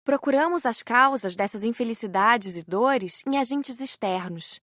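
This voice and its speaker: A woman's voice: fairly high pitch, lively intonation, unhurried, fairly bright tone, no room sound, studio quality. A woman reading scripted first-person narration as an audiobook narrator.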